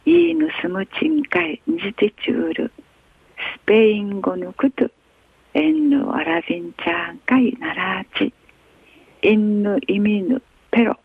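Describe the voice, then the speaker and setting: A woman radio host reading a newspaper article aloud in a slow, measured way, with an Okinawan accent. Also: on the high side, big pitch swings, vocal fry, breathy edge, dry recording. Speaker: radio news reader. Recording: tinny phone-like radio sound.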